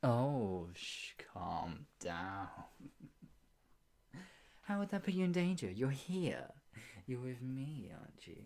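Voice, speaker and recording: A performer playing a sadistic, obsessive yandere captor in an improvised ASMR roleplay, creaky, taunting and drawn out, slipping between talk and whispers. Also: medium pitch, sing-song, darkish tone, exaggerated voice. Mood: amused, menacing. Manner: taunting, drawn-out delivery with frequent giggles and whispers.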